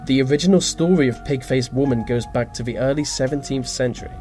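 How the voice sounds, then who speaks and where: A man reading scripted narration for a list video, slipping into dry, deadpan asides. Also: mid-range voice, quick pace. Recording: music behind the voice. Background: background music.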